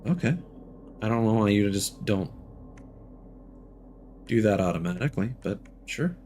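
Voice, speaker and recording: Chatty male game streamer, lively pitch. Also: a little slow, a little hiss or hum. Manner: chatty, thinks aloud, with fillers and small laughs. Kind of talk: casual live gameplay commentary.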